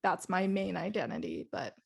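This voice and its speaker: A woman's voice: fairly low pitch, sing-song, normal speed, gravelly, breathy edge. A young woman co-hosting a podcast, talking in a hesitant, casual way with many fillers. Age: young adult. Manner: hesitant, lots of "like" and "I don't know". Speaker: podcast co-host. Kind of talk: casual chat.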